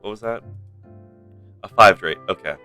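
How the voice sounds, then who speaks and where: A man gives casual live-stream commentary in a deep, clear, bright voice. He rambles playfully as he chats, with quiet game music behind him. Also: normal speed. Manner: rambling, playful, mock-stern. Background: soft game music.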